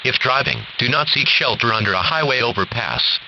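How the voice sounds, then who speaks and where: A man's voice: medium pitch, expressive, brisk, gravelly. A man's synthetic-sounding American voice formally reading automated weather warnings like a radio announcer. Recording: dull noisy weather radio.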